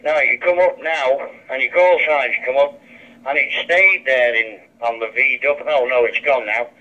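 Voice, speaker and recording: A man's voice: medium pitch, normal speed. A man chatting casually as an amateur radio operator, his voice coming through a handheld radio. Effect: heard through a handheld radio. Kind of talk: casual two-way radio chat. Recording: dull lo-fi two-way radio speaker.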